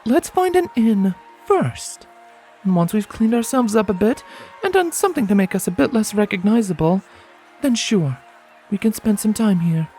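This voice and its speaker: A man's voice: high-pitched, animated, normal speed, a little mellow, faint music behind, pitched above the performer's own voice. A man voicing a caring, motherly pony mare with a diplomatic, sincere manner in acted storybook dialogue. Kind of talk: acted dialogue in a fan fiction audiobook.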